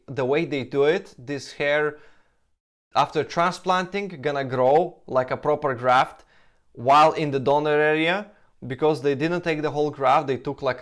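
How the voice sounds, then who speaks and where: Lithuanian-accented man, halting casual talk. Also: medium pitch, smooth. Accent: Lithuanian-Russian. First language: Russian. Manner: halting, restarts sentences, frequent "like" and "you know". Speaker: hair transplant Q&A host. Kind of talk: casual Q&A answering viewer questions.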